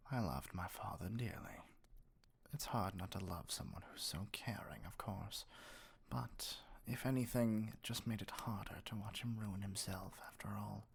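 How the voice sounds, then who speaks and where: A man playing an arrogant, dominant evil sorcerer king in an ASMR roleplay, speaking in a measured, condescending, breathy near-whisper. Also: on the low side.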